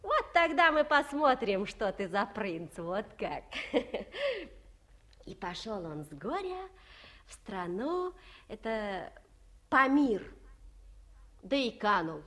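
An actress playing a gossipy young village wife in a 1950s radio play, talking in folksy rural speech and giggling often. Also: female voice, mid-range voice, big pitch swings, slow, long pauses, fairly bright tone.

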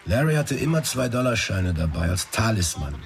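A man's voice: on the low side, very animated, normal speed, vocal fry. An actor playing a sardonic male sidekick in casual, dry radio crime drama dialogue. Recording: sirens and street noise behind. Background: vehicle sirens, city ambience.

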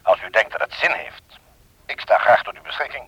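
An actor playing a polite, evasive, overly obliging gentleman on the telephone in a Dutch radio play, speaking hesitantly. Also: mid-range voice, expressive, fairly quick, vocal fry, breathy edge, no echo, lo-fi sound.

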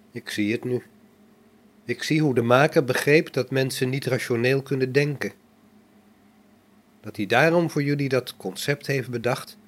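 An amateur actor in a radio play as a calm, pious robot, a man speaking in a measured, solemn, preachy manner. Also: mid-range voice. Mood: musing, serene.

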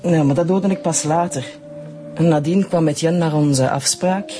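An actress playing a neglected, hesitant wife confessing an affair in a radio drama, with a Flemish accent. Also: female voice, low-pitched, normal speed, over music, natural voice. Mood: hesitant confession. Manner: hesitant, defensive.

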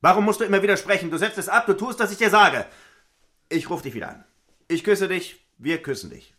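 An actor playing an exasperated, coarse, sarcastic middle-aged husband in a theatrical audio drama, blunt and sardonic in manner. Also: male voice, high-pitched, animated, brisk, fairly bright tone.

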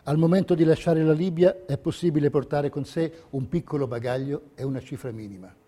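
A man narrating a documentary theatre piece, reading a script in measured, carefully separated phrases. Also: a little high, dark tone, husky.

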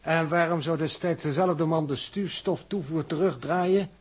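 An earnest, pedantic male science officer in 1960s radio drama. His slightly high, husky voice explains things hesitantly. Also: measured pace. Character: earnest, scientific-minded male spaceship crewman.

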